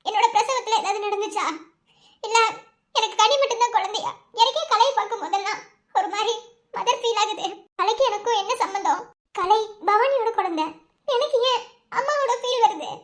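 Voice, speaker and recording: Actress as distraught young mother for a family serial: extremely high, childlike pitch, crying, plaintive, doubting. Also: fairly quick. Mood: troubled, puzzled. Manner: tearful, plaintive, questioning. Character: anguished young mother, full of doubt about her child. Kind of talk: melodramatic family serial acting.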